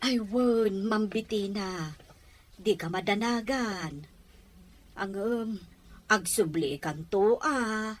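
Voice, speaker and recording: A woman playing a scolding older woman in an audio drama, speaking deliberately and forcefully. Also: mid-range voice. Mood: concerned. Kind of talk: Ilocano audio drama acting.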